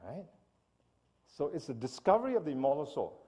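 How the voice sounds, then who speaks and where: A man from Singapore giving an animated church seminar talk and often asking his listeners "right?". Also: fairly high pitch, brisk, husky. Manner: animated lecturing, asks audience "right?". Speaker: speaker.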